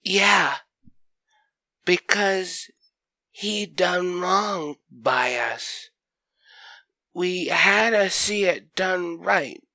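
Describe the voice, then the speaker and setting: A performer as young creature boy, very slow, dry close sound, earnest, questioning, rustic grammar, audio drama. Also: medium pitch, expressive, pushed and bright, no echo, pitched above the performer's own voice, full character voice. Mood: troubled, halting.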